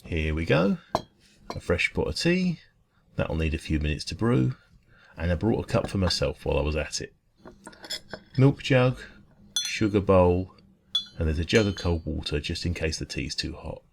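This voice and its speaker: A man plays a friendly male dragon who keeps a little diner, in a deep voice with plenty of gravel. He speaks in a caring, conversational ASMR style, soothing and encouraging. Faint noise and café ambience sit behind the voice. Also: sing-song, normal speed, pauses often, exaggerated voice. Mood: friendly, relaxed. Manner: gentle, reassuring, conversational. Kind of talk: ASMR roleplay.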